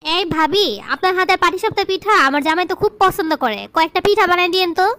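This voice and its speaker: A woman as a shrill, bossy sister-in-law. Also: fast talker, a bit bright, no room sound. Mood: bossy, entitled. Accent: Bangladeshi colloquial. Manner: bossy, entitled. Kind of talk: cartoon drama dialogue.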